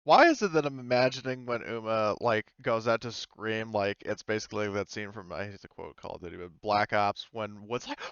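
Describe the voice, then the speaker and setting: A man's voice: fairly low pitch, fairly quick, few pauses, a bit bright, clear, resonant tone, no room sound, dull audio. A man running a tabletop game as game master, narrating casually in a rambling, sweary, amused way.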